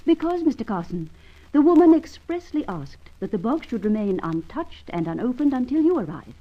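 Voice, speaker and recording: An actress playing a haughty, cool Nordic shop dealer with formal, imperious diction in old-time radio drama style. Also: female voice, on the high side, big pitch swings, normal speed, muffled recording. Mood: curt, haughty.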